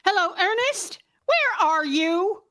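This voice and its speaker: A woman's voice: very high-pitched, expressive, slow pace, bright, forceful tone, exaggerated voice. A woman playing a bossy, nagging housewife in an old-time radio drama. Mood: scolding.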